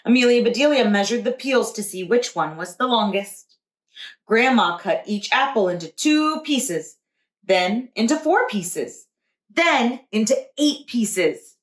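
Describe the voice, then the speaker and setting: A woman teacher reading a picture book aloud to young children with deliberate expression. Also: on the high side, expressive. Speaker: preschool teacher. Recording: roomy echo.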